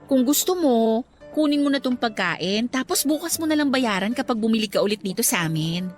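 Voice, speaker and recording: A bubbly, lovestruck student girl, voiced by a woman, in a radio drama. Her high voice swings widely from word to word, and soft music runs underneath. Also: brisk, close to an everyday voice. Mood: sweet. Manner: animated, defensive, bubbly. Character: cheerful lovestruck young female student.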